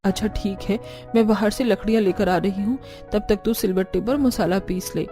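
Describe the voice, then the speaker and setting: A woman's voice: medium pitch, normal speed, mellow, lightly acted. A woman playing an aging, weary, complaining mother-in-law in a cartoon. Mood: bossy worry. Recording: background music.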